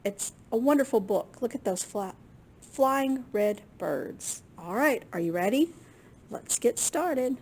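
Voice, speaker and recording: Storytime reader: woman, higher-pitched, expressive and swooping, slow delivery for young children. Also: slightly rough. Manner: expressive, unhurried, with small asides to listeners. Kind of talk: expressive picture book read-aloud for children.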